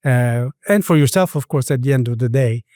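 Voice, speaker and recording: Middle-aged man, smooth mellow voice. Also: medium pitch, no echo, clean studio sound. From Middle East and Sweden. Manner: hesitant, halting phrasing with repeated words. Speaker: podcast guest. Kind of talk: reflective interview conversation.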